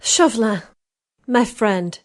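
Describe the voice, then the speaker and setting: A man's voice: sits high, normal speed, voice pushed up, close to an everyday voice. A man reading an audiobook, voicing a witty, bored young noblewoman who speaks merrily and archly. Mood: arch, teasing. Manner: merry, arch, teasing.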